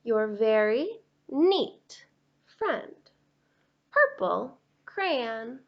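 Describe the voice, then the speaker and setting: A woman's voice: on the high side, very animated, drawn-out words, muffled recording, full character voice. A woman voicing a fussy, neat purple crayon, exasperated and insistent, in a storybook read-aloud.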